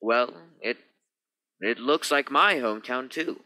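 Space captain for radio play, male actor: sharp, tense, authoritative, mid-pitched, skeptical. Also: measured pace. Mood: astonished. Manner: emphatic, authoritative.

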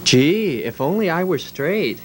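A man's voice: fairly high pitch, very animated, a little slow. A man voicing a catty, sarcastic young man.

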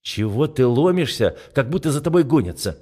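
A man's voice: mid-range voice, very animated, brisk, warm tone, slightly rough, voice pushed up. A man voicing a tired, irritable young woman schoolteacher who grumbles in an audiobook. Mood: grumpy. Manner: grumbling, snappy.

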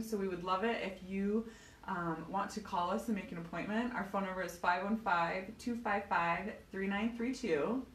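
A woman's voice: mid-range voice, distant mic. Upbeat woman, thirties, live walking tour filmed on a phone, echoey rooms, heard from a few feet off. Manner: chatty, bubbly, walking while talking. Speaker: physical therapy clinic owner giving a tour. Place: small clinic indoors, hallways and treatment rooms. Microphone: phone camera held by another person. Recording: roomy phone audio from a few feet off, faint hum.

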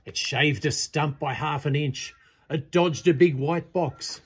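A man's voice: fairly high pitch, measured pace, husky. A man reading a picture-book poem aloud as a storyteller, in a theatrical, dramatic style. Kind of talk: expressive read-aloud poetry recitation. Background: birdsong.

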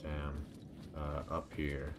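A man's voice: extremely low pitch, completely flat delivery, drawn-out words, very noisy. A man streaming a video game with casual, muttered commentary and frequent swearing. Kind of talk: casual let's play commentary. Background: video game ambience.

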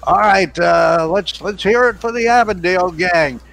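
A man's voice: sits high, normal speed, gravelly. An older American man hosting a casual chat, reminiscing in a rambling, chuckly way into a headset mic on a video call. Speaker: radio show host. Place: indoor home studio on a video call. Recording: noisy home video-call audio.

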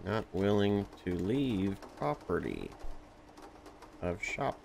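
A man's voice: fairly low pitch, expressive, slow pace, creaky, darkish tone, slight background noise. A young man playing a game, casually reading dialogue aloud in a blunt way. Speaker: gameplay streamer. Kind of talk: game playthrough, reading dispatcher lines aloud with reactions.

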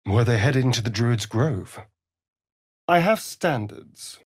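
An actor playing a sly, vain vampire rogue in a video game, with a silky, theatrical, mocking drawl. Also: male voice, medium pitch, lively intonation, slow, husky, no echo, studio quality. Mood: teasing, disdainful. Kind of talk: video game character voice lines.

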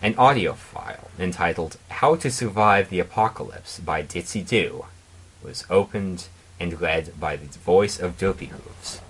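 A man presenting and reading fan fiction aloud in a deliberate, mock-dramatic way. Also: sits low, a bit bright, slightly rough, rough recording. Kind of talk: casual intro and dramatic reading.

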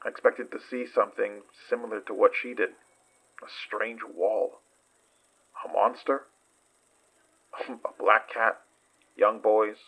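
Guarded investigator, bright low voice. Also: male voice, steady tone, slow, long pauses, slightly rough, exaggerated voice, low-quality recording. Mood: measured recounting. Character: guarded male investigator recounting a night's danger. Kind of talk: audio drama acting, subdued recounting.

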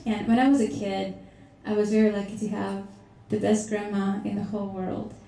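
A young woman from Russia, speaking softly and warmly as she introduces a song at a live studio session. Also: medium pitch, level tone, normal speed, vocal fry, a little hiss or hum. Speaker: singer-songwriter. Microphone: stand mic. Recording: roomy studio sound, mic slightly far.

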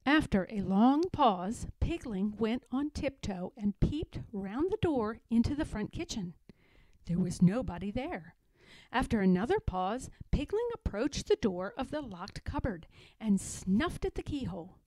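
A woman narrates a children's storybook. Her voice is high and animated, with deliberate, expressive phrasing. The sound is dry, with little room on it. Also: dry recording, rough recording. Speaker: storybook reader. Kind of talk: children's read-aloud narration.

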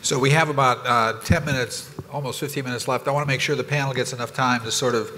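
A man moderating a conference panel, halting and hesitant with frequent fillers. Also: medium pitch, steady tone, sharp tone, long reverb tail, slight background noise. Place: conference ballroom stage.

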